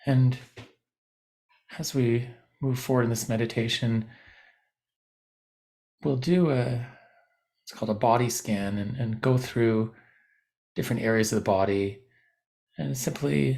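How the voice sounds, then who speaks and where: A man guiding a body scan meditation in a gentle, unhurried, instructive way with long pauses. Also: medium pitch, fairly even intonation, gravelly. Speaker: meditation teacher.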